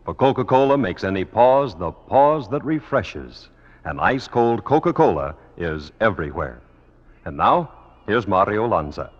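A man's voice: fairly low pitch, very animated, normal speed, muffled recording. A man with an American accent announcing in scripted old-time radio style, on a vintage broadcast recording. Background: music.

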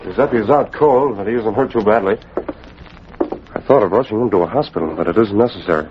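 An actor playing a capable young American gentleman hero in an old radio drama, speaking in a crisp, educated, decisive way. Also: fairly low pitch, a little fast, natural voice. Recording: muffled, noisy old radio recording.

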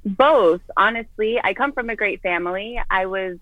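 A woman's voice: medium pitch, moderate pace, no room sound, phone-quality sound. A woman from Florida, a guest on a video call, telling her own story in a candid, earnest way. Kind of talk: personal story in an interview.

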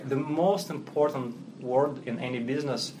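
A man's voice: mid-range voice, moderate pace. Russian-accented man, CEO guest, fluent but foreign phrasing, noisy recording. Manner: fluent but non-native phrasing, businesslike, frequent fillers. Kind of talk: podcast interview.

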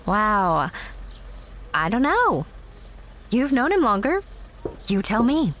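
A woman's voice: on the high side, sing-song, takes it slowly, a bit bright, slight background noise, full character voice. An actress playing a sarcastic, guarded young woman who calls herself a theater girl, in an audio drama. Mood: wry. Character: wry young theater girl, new girlfriend.

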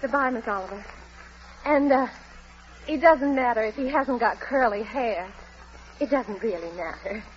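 A tender young wife played by an actress in an old radio play, yearning and earnest. Her voice is high and hesitant, heard through a dull vintage broadcast recording. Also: normal speed, long pauses, natural voice. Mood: pleading. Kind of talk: 1940s American radio drama acting.

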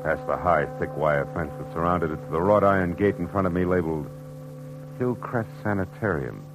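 An actor playing a dry, world-weary American private detective in a 1940s noir radio drama, with clipped, wry delivery. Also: male voice, deep voice, moderate pace, rough recording. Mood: dry narrating. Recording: old radio recording with background music.

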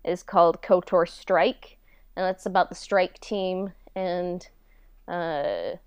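A woman's voice: on the low side, fairly even intonation, takes it slowly, clean tone, no room sound, studio quality. A woman chatting casually as a podcast guest about her own work, candid and self-deprecating, on slightly dull audio. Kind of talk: casual chat.

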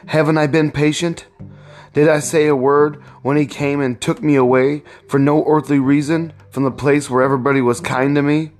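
A man's voice: mid-range voice, unhurried, faint music behind, lightly acted. A man reading aloud and voicing a bitter, despairing young husband who speaks with anguish.

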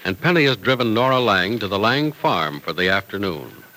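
A man's voice: fairly low pitch, expressive, moderate pace, bright, forceful tone, a little hiss or hum, rough recording. A man announcing and narrating in polished, theatrical old-time radio style.

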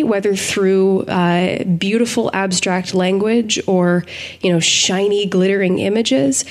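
Articulate woman in thoughtful podcast discussion. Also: mid-range voice. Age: adult. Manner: articulate, eloquent, thoughtful phrasing. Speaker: podcast co-host. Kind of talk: reflective analytical discussion.

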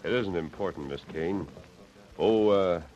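An actor playing a noble, modest masked American hero in an old radio western, assured and courteous. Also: male voice, deep voice, measured pace, darkish tone. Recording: muffled old radio recording.

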